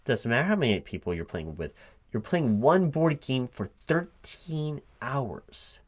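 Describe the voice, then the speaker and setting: A man playing a baffled, incredulous recruiting manager in a spoken ASMR job interview roleplay, halting and repeating himself in disbelief. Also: medium pitch, very animated. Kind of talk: one-sided ASMR roleplay, softly paced but spoken aloud, improvised.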